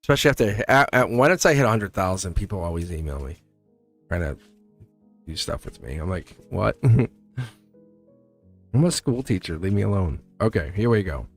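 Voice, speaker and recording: A man's voice: on the low side, sing-song, moderate pace, pauses often, soft background music. Chatty upbeat man reading tarot. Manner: casual, upbeat, chatty, laughs often. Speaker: tarot reader. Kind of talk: live-stream tarot reading.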